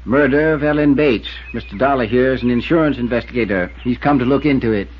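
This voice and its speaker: An actor as husky, folksy rural American constable. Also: male voice, medium pitch, fast talker, natural voice. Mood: folksy, dry. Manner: hesitant, plain-spoken drawl. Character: folksy, stubborn, laconic male small-town constable. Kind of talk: 1950s radio acting. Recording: tinny, narrow 1950s radio recording.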